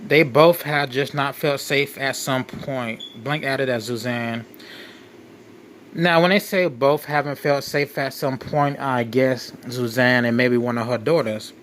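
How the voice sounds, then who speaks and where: Man reading aloud over clear background noise. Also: mid-range voice, fairly even intonation, a bit bright. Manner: halting, repeats and rephrases phrases. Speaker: late night show host. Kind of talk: reading a document aloud with off-the-cuff commentary.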